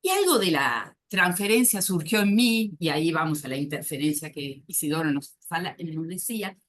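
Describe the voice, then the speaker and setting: A woman psychoanalyst speaking on a conference panel, thoughtful and often pausing to search for words. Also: sits low, very animated. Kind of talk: academic conference talk.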